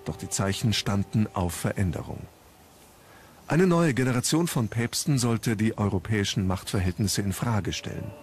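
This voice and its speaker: Male documentary narrator, lowish register, measured storyteller reading from script, music bed underneath. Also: animated.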